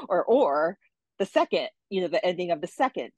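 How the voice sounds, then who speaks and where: A woman joining a discussion online, giving a reflective, tentative comment in a halting way. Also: lowish voice, sing-song, darkish tone, breathy edge, no room sound, muffled recording. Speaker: online audience participant. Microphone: remote call over the internet.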